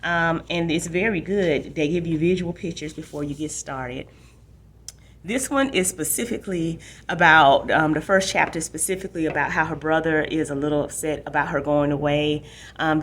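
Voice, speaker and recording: Female library host, voice a touch on the low side, casual book talk and read-aloud for young readers.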